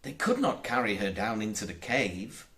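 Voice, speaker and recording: A man narrating a children's storybook in a measured, deliberate and expressive way. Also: lowish voice. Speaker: audiobook narrator.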